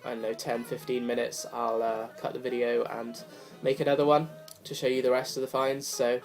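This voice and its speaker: A man's voice: mid-range voice, flat delivery, a little fast. A young man with a cold talks casually about his records, rambling and apologetic with lots of fillers. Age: young adult. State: hoarse from a cold. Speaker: vinyl collector vlogger. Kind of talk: casual chat to camera. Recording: faint music behind the voice. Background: jazz record playing softly.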